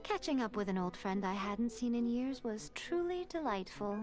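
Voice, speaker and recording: A sly shrine priestess with a slightly raised pitch, performed by a woman speaks in a coy, amused, gently mocking way. It is video game voice acting with the game's music playing clearly underneath. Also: animated, normal speed, natural voice. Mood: teasing. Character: sly, teasing fox-eared shrine priestess, playful.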